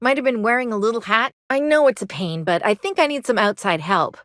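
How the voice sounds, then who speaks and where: Game role: anxious colony mechanic woman, high voice, folksy Southern US drawl, apologetic, dry close recording. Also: lively intonation, a little fast, a bit bright, dry recording. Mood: worried, polite. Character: anxious, earnest young colony mechanic woman fond of her robot. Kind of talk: video game dialogue.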